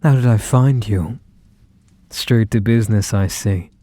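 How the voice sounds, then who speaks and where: A possessive mafia boss acted out by a man croons to his lover in an ASMR roleplay. His voice is dark and very unhurried, recorded close and dry. He is teasing and condescending, with menace under the affection. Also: fairly low pitch, no echo, close to an everyday voice. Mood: smug, affectionate. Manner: unhurried, mock-sweet, condescending pet names. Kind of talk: ASMR roleplay, intimate one-sided dialogue.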